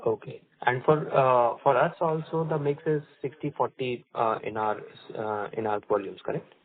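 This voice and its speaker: Man, Indian accent, mid-pitched, polite halting analyst questions, telephone-quality sound. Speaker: fund analyst asking questions. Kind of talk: earnings call questions. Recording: narrow phone line.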